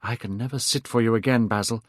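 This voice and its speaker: A man's voice: mid-range voice, steady tone, fast, dry recording, studio quality, pitched above the performer's own voice, lightly acted. A man reading aloud, voicing a vain, careless young man who sounds bored and dismissive. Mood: cold, insistent. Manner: bored, dismissive, rising to sharp cries.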